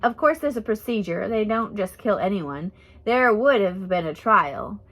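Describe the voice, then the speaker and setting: A woman voicing a gruff, calm missionary woman in her seventies in a storybook, lecturing matter-of-factly. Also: medium pitch, unhurried, rough recording. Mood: calm, stern. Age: 70s. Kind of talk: storybook character reading.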